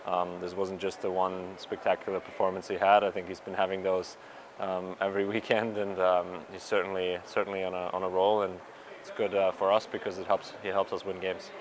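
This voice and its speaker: A young man with a low, level voice answers a cluster of reporters after a match. He talks haltingly, full of ums and restarts, into handheld mics held close. A faint hum of the stadium sits behind him. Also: little pitch movement. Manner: hesitant, many fillers and restarts. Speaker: pro soccer player. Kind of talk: postgame media scrum. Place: stadium media area in front of sponsor backdrop. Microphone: reporters' handheld mics and recorders held close.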